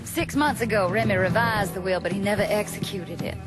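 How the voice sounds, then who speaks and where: An actress playing a composed, calculating upper-class schemer in a video game, measured and formal. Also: female voice, mid-range voice, loud music behind the voice, close to an everyday voice. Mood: calm calculation.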